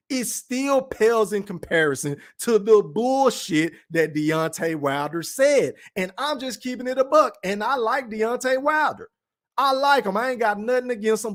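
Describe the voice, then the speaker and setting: A high-voiced man with a Texan accent holds forth on a casual livestream. He is blunt and emphatic, swears freely, and keeps addressing the chat. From Texas, Dallas-Fort Worth. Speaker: podcast host reading live chat.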